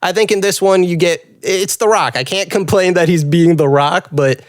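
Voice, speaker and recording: A man with a slightly high voice co-hosts a casual film podcast. He feels his way through his points in loose conversation with friends. The sound is dry, with no room around it. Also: moderate pace, no room sound. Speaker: podcast co-host.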